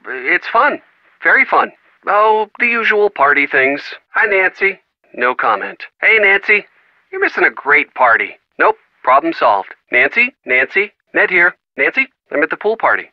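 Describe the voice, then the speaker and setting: An actor playing an affable, wry young American boyfriend in a video game, with a conversational delivery. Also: male voice, on the high side, no room sound, highs cut off. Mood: friendly, casual. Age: young adult. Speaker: boyfriend on the phone.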